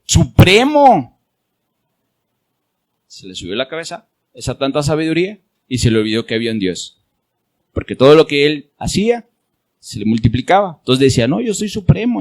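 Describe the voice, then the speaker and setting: A man preaching a sermon with a Mexican Spanish accent, rising to loud emphatic peaks and dropping to soft low asides as he acts out prayers. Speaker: pastor.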